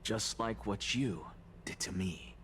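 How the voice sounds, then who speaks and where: Smug, very slow-speaking undead scholar. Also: male voice, fairly low pitch, fairly bright tone, slight background noise. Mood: smugly confident. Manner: deliberate, condescending, theatrical. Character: smug undead male scholar, calm and arrogant. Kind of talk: video game voice acting. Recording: game music behind the voice.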